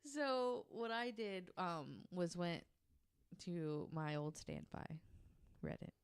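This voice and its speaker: A woman's voice: on the low side, lively intonation, slow, clean tone. A woman co-hosting a podcast, chatting casually and reading out stories with her own asides. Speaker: podcast guest co-host.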